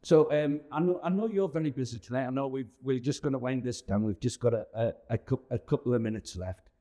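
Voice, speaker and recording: A male interviewer with a soft, dark timbre. His pitch is average and his questions come in an informal, halting, chatty manner. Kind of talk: casual interview chat.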